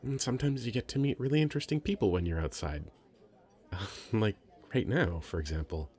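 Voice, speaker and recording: A man playing a very shy, sweet young nerdy writer in an ASMR roleplay, hesitant, stammering and soft-spoken. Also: fairly low pitch, animated, brisk, husky. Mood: warming up, chatty. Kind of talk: ASMR roleplay, addressing the listener.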